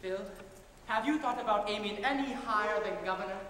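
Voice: medium pitch, a little fast, fairly bright tone, natural voice. A performer playing a persuasive, domineering older-hand political mentor, coaxing and patronizing, in an amateur stage comedy. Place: large theater stage, distant camera. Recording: very echoey theater, faint noise.

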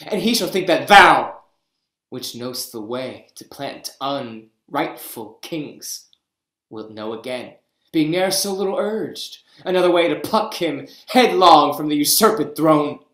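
A man reads a deposed king, grieving and bitter. The verse comes slowly, swelling sharply and then sinking low. He is in a roomy, echoing space on a webcam. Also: animated, a bit bright. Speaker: actor in a staged reading. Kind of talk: Shakespeare verse reading. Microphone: webcam on a video call.

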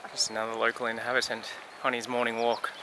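A man's voice: medium pitch, monotone, fast talker. A man in his thirties casually chatting and joking while filming a travel vlog on a camera. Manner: joking, self-mocking banter. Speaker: travel vlogger. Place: outdoors on an island and in a ship cabin. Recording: noisy outdoor camera audio.

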